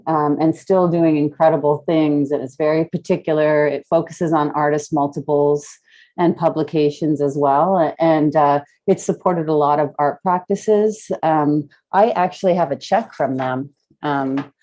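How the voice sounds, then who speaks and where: A middle-aged woman with a fairly deep voice talks informally as a guest speaker. She is reflective and roundabout, often pausing to search for the next word as she tells her story. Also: fairly even intonation, warm tone, highs cut off. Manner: hesitant, meandering, reflective. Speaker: guest speaker, gallery curator. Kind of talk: casual storytelling in an online talk.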